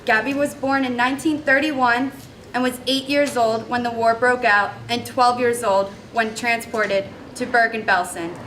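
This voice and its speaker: A young woman reads a prepared speech with earnest, measured phrasing, her voice crisp and bright. She is heard from a distance, with faint noise behind her. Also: a little high, fairly even intonation. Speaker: student speaker.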